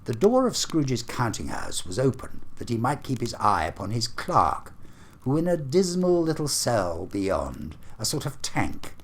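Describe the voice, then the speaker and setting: A man reading a literary story aloud as a storyteller, with theatrical, deliberate phrasing. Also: mid-range voice, big pitch swings.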